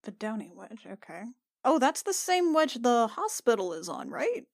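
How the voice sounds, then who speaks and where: A woman takes part in a tabletop role-playing game as a player. Her voice is on the high side, and she talks in a casual, chatty way. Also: sing-song, normal speed, creaky.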